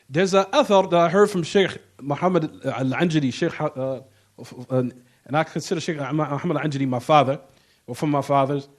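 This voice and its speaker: A man giving an informal Islamic lecture, emphatic and repetitive, often checking in with rhetorical questions. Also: a little high. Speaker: religious teacher giving a class.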